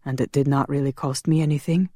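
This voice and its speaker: A woman's voice: very deep voice, steady tone, fast talker, mellow, no room sound, clean studio sound. A woman voicing a stoic, lovelorn and resolute man with old-fashioned wording in an audiobook, speaking in a subdued manner. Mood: resigned, determined.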